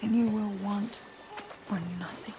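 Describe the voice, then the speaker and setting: A woman playing a gentle, wry Norse warrior mother in a cinematic video game, speaking tenderly and with measured, soft teasing. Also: mid-range voice, fairly even intonation, close to an everyday voice. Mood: warm, wistful. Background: music.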